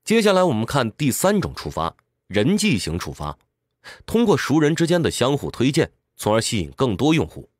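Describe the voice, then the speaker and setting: A man's voice: medium pitch, wildly swooping pitch, moderate pace, dry recording, studio quality. A man narrates a scripted explainer in a didactic way, posing questions and answering them. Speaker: audiobook summary narrator.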